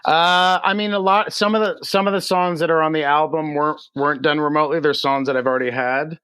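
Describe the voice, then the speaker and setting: A man from Texas speaking as a musician guest in a casual interview, a little halting. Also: a little high, fairly even intonation, a bit bright, clear, resonant tone, dry recording. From United States, raised in Texas. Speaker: singer-songwriter guest.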